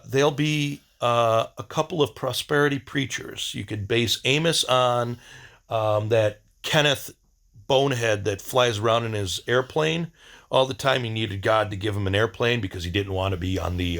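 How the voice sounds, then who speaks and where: Halting, blunt man rambling casually. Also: mid-range voice, gravelly, fairly bright tone. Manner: halting, searching for words. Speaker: video diary host.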